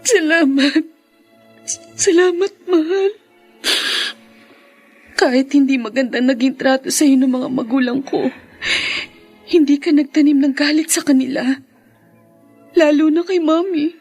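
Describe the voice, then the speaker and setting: Sad young wife in a radio drama, high soft voice, tender and emotional. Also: female voice, measured pace, long pauses, soft background music. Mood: grateful. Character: grieving, guilt-ridden tender young wife. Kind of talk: Tagalog radio drama acting.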